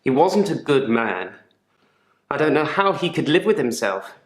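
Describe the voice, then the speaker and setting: A man's voice: on the high side, sing-song, measured pace. A man playing a troubled gravedigger in therapy for a video game, speaking in a guarded, dryly sardonic, articulate way. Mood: guarded, bitter. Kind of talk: naturalistic acted monologue in therapy session. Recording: echoey room.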